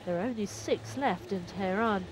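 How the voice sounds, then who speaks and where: A woman reporter giving scripted documentary narration in a measured, even way. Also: mid-range voice, background noise.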